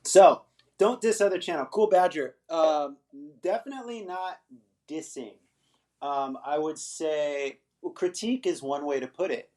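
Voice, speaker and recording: A man's voice: fairly high pitch, a little slow, darkish tone. A middle-aged man hosting an English-teaching livestream, chatting casually with viewers and rambling, often tagging "right?" onto his points. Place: Los Angeles.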